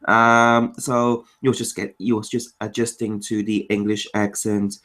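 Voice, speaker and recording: A man from Macau whose first language is Cantonese, answering interview questions in English in a casual chat, explaining in quick bursts and rattling off lists. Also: fairly low pitch, little pitch movement, fairly bright tone. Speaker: interview guest.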